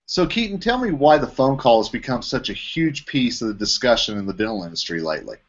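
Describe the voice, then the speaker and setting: A man acts as interviewer, posing questions to a guest in a hosted video interview. His voice sits at a medium pitch, and the online call makes the sound dull and lo-fi. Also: very animated, brisk. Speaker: interviewer, trade publication editorial director. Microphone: video call microphone.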